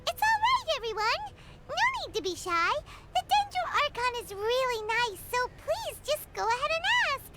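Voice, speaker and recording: A woman voicing a small, chirpy girl companion in a video game, excitable and blunt. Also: very high-pitched, moderate pace, heavy background noise. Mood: excited. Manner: excitable, exclaiming. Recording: game audio with music behind.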